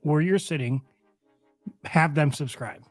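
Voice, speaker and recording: A man's voice: on the high side, measured pace, no room sound. A man co-hosting a casual fan podcast, riffing with jokes he makes up on the spot. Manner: jokey, riffs with made-up bits. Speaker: podcast co-host. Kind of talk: casual live podcast chat.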